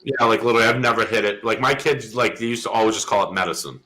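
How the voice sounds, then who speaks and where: A man in his thirties from Massachusetts, swapping stories in a relaxed chat. He swears constantly and acts out each quote with gusto, and his voice sounds bright and cutting. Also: lowish voice, racing, a little hiss or hum, low-quality recording. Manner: profane, animated storyteller acting out quotes. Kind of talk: casual chat, storytelling.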